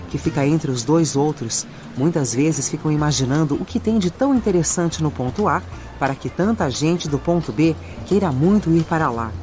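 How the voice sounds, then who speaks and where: Brazilian woman, audiobook narrator, scripted storytelling, music clearly behind the voice. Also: sits low, darkish tone. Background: music and added sound effects.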